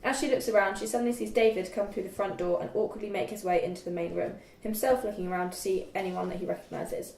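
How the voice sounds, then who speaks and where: A woman's voice: medium pitch, steady tone, fast talker, vocal fry, few pauses, a little mellow. Young woman reading stage directions, echoey room. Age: young adult. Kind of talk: scripted narration. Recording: echoey room, distant mic, faint noise.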